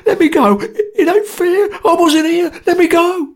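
A terrified young Cockney man, pitched far above a normal male voice. He is breathy and squeaky, swooping as he stammers and pleads. He is a whining coward in an audio story. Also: big pitch swings, a little slow, heavily acted. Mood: desperate pleading.

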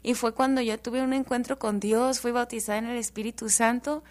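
High-voiced woman from El Paso, animated. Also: a little fast. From El Paso, Texas. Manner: animated, joking, warm testimony. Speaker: guest.

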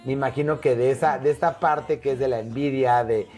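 A woman's voice: very deep voice, fairly even intonation, fairly quick, a little mellow, faint music behind. A woman hosting a talk show interview, chatty and rambling with many fillers. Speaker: host.

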